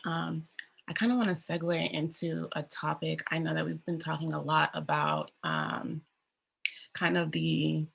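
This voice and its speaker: A woman's voice: low voice, vocal fry, husky. A young woman moderating a panel discussion, speaking tentatively with hedges and fillers.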